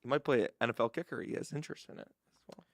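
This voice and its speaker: A man's voice: medium pitch, rapid-fire, fairly bright tone, no room sound, studio quality. A young man co-hosting a casual sports chat, joking around in a goofy, offhand, playfully naive way. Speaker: podcast co-host.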